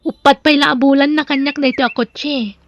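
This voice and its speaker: A woman's voice: high-pitched, steady tone, brisk, muffled recording, slight background noise. A woman playing a busy, unmarried businesswoman and younger sister in an Ilocano radio drama. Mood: light, polite.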